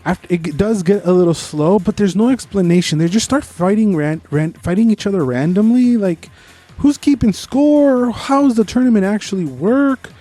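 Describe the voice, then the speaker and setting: A man's voice: high voice, moderate pace, mellow, soft background music. A man co-hosting a podcast, giving an informal, opinionated movie review in casual conversation and swearing freely.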